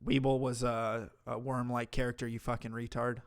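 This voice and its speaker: A man's voice: medium pitch, level tone, normal speed, smooth. A man hosting a livestream, reacting to a clip with mocking, profane commentary. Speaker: commentary streamer host.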